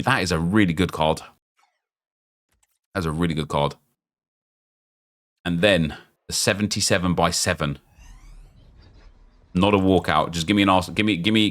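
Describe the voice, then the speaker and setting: A man, chatty and excitable, thinking aloud as he opens packs in a football game, losing his voice and getting unwell. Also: low-pitched, moderate pace, long pauses. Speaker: gaming YouTuber. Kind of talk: casual pack-opening commentary.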